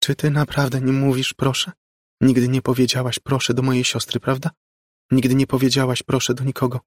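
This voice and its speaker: A man's voice: mid-range voice, monotone, fairly quick, no echo, studio quality, voice pushed up, natural voice. A man voicing an eerie, insolent little ghost girl who talks in a cool, scolding, condescending way in an audiobook story.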